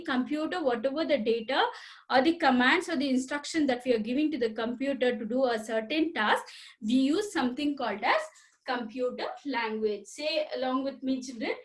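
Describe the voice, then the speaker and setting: A high-pitched woman teaches a school computer class. She talks straight to the children, repeats herself and asks questions as she goes. Her voice sits well above most women's. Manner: repetitive, addresses children directly, asks questions. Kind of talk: recorded school computer lesson.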